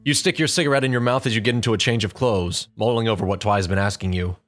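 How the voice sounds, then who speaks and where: A man's voice: lowish voice, fast talker, a bit bright. A man reading scripted second-person story narration as the narrator of an audio drama. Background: background music.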